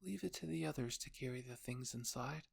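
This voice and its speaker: A man's voice: medium pitch, brisk, gravelly, slightly rough, no echo, clean studio sound. A man voicing a bossy but concerned young queen in a roleplay story, with a scolding, insistent manner.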